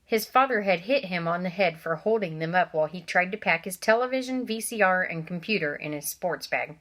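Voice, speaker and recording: A woman reads a children's novel aloud at a middling pitch. She gives the characters lively voices. Every so often she stops to talk straight to her young listeners. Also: a little fast. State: coughing from allergies. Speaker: reader.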